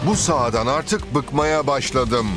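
A man's voice: mid-range voice, a little slow, natural voice. A man voicing a confident, determined young football captain in a children's cartoon, assured and rallying. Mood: fed up. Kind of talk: animated children's cartoon dub. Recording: music behind the dialogue.

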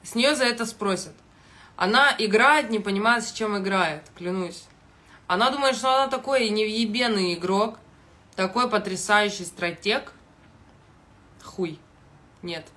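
A young woman giving casual video commentary in a blunt, halting, heavily sweary manner, recorded on a phone in a kitchen. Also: medium pitch, sharp tone. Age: young adult. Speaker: reality show commentator. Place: small kitchen indoors. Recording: noticeable background noise.

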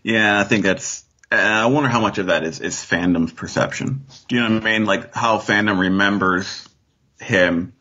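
A man's voice: fairly low pitch, normal speed, pushed and bright, breathy edge. A man chatting casually as a podcast guest, hesitant and thinking aloud, on dull lo-fi call audio. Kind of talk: casual fan discussion.

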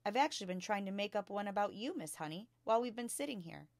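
A woman reading a storybook as a bright, earnest five-year-old schoolgirl, polite, precise and modest. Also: medium pitch, expressive, quick pace, dry recording, voice pushed up, natural voice. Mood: hesitant.

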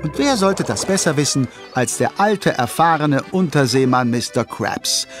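A man narrating a children's audio drama in an animated storytelling manner. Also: mid-range voice, moderate pace, close to an everyday voice. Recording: music under the voice. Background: music.

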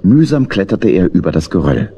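A man's voice: low-pitched, big pitch swings, moderate pace, dark tone, a little hiss or hum. A man narrating a scripted children's story in German as the storyteller of an audio play.

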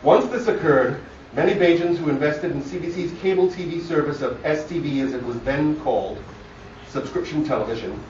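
A man's voice: fairly high pitch, brisk, darkish tone, slight background noise. Distant, echoey Barbadian man giving a eulogy. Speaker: son delivering a eulogy for his father. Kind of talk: scripted memorial speech to an audience. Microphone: phone camera far from the speaker. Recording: distant, muffled phone recording, echoey room.

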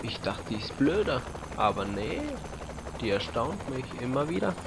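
A young man giving casual, rambling and slightly halting commentary as he plays a video game on a headset. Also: medium pitch, sing-song, vocal fry. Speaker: let's play host. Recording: loud game gunfire and noise behind voice. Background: video game gunfire, vehicles, helicopter.

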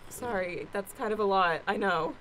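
A woman's voice: mid-range voice, normal speed, a bit bright, slight background noise, lightly acted, rough recording. An actress playing an anxious young woman on the run, stammering and rambling in an improvised radio play. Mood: agitated, rambling. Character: Zoe, frazzled anxious young woman fugitive. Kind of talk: improvised old-time radio play acting.

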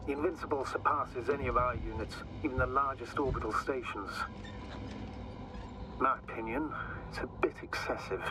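A man's voice: medium pitch, moderate pace, noisy background, close to an everyday voice. A man voicing a pragmatic, sceptical astrogator in a video game, speaking in a dry, reserved way. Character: pragmatic, sceptical male astrogator, a mission commander. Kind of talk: video game radio dialogue.

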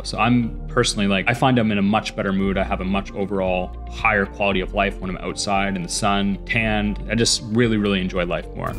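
A young Canadian man talking straight to camera in a matter-of-fact, explanatory way as a vlog host, close to a studio microphone. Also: fairly low pitch. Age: young adult. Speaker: host, e-commerce entrepreneur. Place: small indoor studio room. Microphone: boom-arm broadcast mic close by. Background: soft background music with guitar.

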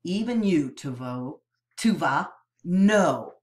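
A woman reads a novel aloud in a slow, deliberate storytelling voice. Her pitch sits low but swings widely, giving the reading an expressive lilt. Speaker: narrator.

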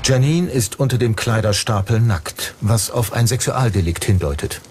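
A man's voice: on the low side, animated, normal speed, noisy background, lightly acted. A man reading scripted true-crime documentary narration as the narrator. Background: background music.

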